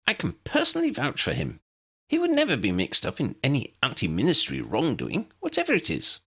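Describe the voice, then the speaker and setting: A man voicing a tiny, elderly, flustered and loyal male professor with a squeaky, old-fashioned, exclamatory delivery, in an audiobook story. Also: wildly swooping pitch, brisk, a bit bright, slightly rough, heavily acted. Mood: earnest.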